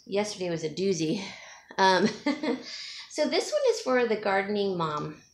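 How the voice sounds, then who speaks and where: A woman's voice: medium pitch, sing-song, unhurried. Chatty woman vlogging from across a room. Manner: rambling, chatty, with fillers and small laughs. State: sniffling from allergies. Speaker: home stager and DIY vlogger. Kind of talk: casual chat to camera. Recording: echoey room, distant from mic, faint hiss.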